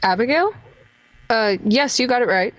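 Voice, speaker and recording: A woman's voice: a little high, animated, moderate pace, fairly bright tone, poor audio. A woman playing a weary, dry-witted male small-town detective in an amateur radio play, speaking in a hesitant, sardonic way. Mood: puzzled, wry.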